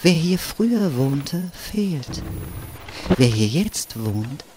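A woman performing poetic radio play narration, addressing the listener in measured short phrases and single words. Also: sits low, sing-song, background noise, heavily acted. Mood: measured, eerie. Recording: loud music under the voice.

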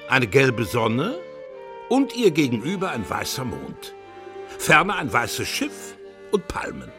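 Slow, expressive male storyteller. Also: medium pitch. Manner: deliberate storytelling in short measured phrases. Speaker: narrator. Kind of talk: scripted children's radio play narration.

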